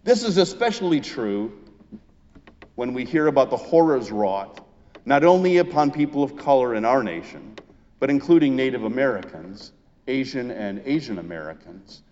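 A man preaching a sermon as a pastor, speaking in measured, emphatic phrases with deliberate pauses. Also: mid-range voice, very animated, a little mellow. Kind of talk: Easter sermon, reading and expounding scripture. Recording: muffled, reverberant church hall sound.

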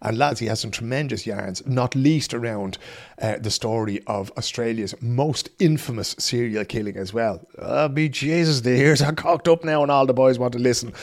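A man's voice: mid-range voice, moderate pace. A man with an Irish accent hosting a podcast, chatty and jokey in a colloquial way. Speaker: podcast host. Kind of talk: casual podcast chat.

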